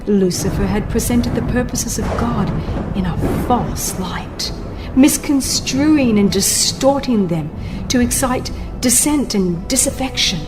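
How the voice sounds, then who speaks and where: A woman narrating a religious book as a dramatized audiobook, with solemn, theatrical and deliberate phrasing. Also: on the high side, expressive. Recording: music under the voice. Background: background music.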